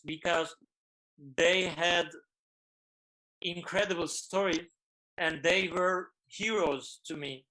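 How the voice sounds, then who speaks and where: Turkish-accented man, halting careful speech. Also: sits high, sharp tone, low-quality recording. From Turkey. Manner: halting, searching for words in English. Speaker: film director guest. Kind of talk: online panel interview.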